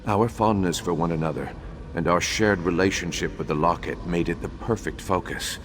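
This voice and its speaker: An actor playing a grave, remorseful undead imperial battle mage in a video game, speaking in a formal, measured, solemn way. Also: male voice, deep voice, natural voice. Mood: regretful. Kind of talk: dramatic video game dialogue. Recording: long hollow reverb, faint background noise.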